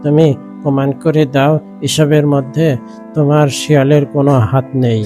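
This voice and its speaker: A man playing a fair-minded, cautious village leader in a cartoon, with a measured, admonishing manner. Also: on the high side, flat delivery, dark tone, soft background music, lightly acted. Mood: firm. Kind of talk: cartoon character acting.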